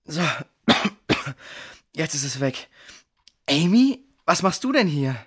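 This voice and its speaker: A performer playing an anxious, self-doubting boy in a children's audio drama, hushed and hesitant. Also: mid-range voice, sing-song, slow pace, husky, heavily acted. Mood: tired, unsure.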